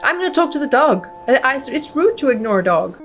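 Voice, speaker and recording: Expressive woman gamer over music. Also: sits high, fairly quick. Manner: casual, expressive. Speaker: gaming video host. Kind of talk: playthrough commentary and read-aloud narration. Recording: music behind the voice.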